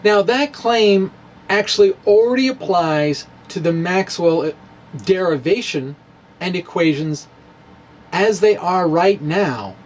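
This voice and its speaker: Male physics blogger, slow and animated, wry humour, slight hiss behind. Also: high-pitched. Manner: wry, playful, laughs at his own jokes. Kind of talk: dramatic reading of a blog lesson.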